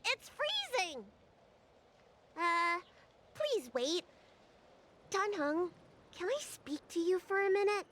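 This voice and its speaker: A woman voicing an excitable young girl dragon healer in a video game, expressive and easily flustered into stammering. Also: very high voice, takes it slowly, pauses often, slight background noise, heavily acted. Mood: flustered, concerned. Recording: loud game music behind. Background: game music.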